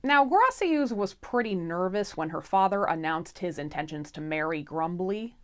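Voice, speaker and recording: A woman narrates a fairy tale with sassy, irreverent humor. Her delivery is theatrical and very animated, with widely swinging intonation and a casual feel. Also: fairly low pitch, normal speed. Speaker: storyteller.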